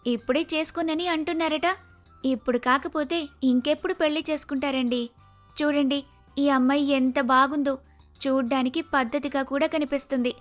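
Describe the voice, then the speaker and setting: A woman voicing a cheerful, respectful young woman in an animated moral story. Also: sits high, normal speed, faint music behind, natural voice. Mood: eager, persuading. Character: brave, cheerful, respectful young woman, a helpful house guest.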